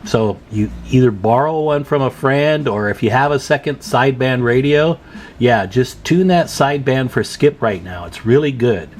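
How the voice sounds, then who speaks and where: A man's voice: medium pitch, lively intonation, normal speed, darkish tone, a little hiss or hum. A man presenting a hands-on repair tutorial in a casual, plain-spoken, advising way.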